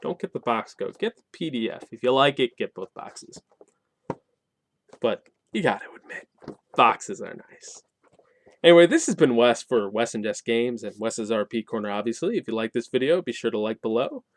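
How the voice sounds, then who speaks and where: A man's voice: medium pitch, animated, moderate pace. A man reviewing in a casual, unscripted way, with informal wording and emphatic stress on key points. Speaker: game review channel host.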